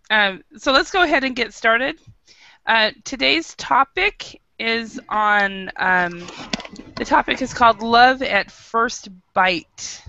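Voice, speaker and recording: An American woman leading an online English class, upbeat and encouraging, with frequent quick affirmations. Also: fairly high pitch, creaky, pushed and bright, no echo, highs cut off. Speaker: English teacher. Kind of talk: online group English lesson.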